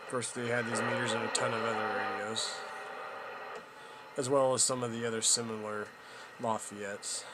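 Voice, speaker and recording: A man giving a casual, unscripted product walkthrough as a hobbyist, haltingly thinking aloud as he goes. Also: medium pitch, flat delivery, heavy background noise. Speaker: hobbyist presenter demonstrating a vintage CB radio.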